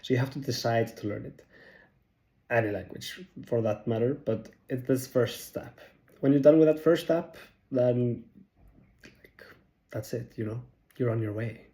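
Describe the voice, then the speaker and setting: A man's voice: medium pitch, a little slow, pauses often, dark tone. A young man from Iceland, giving casual language-learning tips in a rambling, self-deprecating way. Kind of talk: casual talking-head tips video.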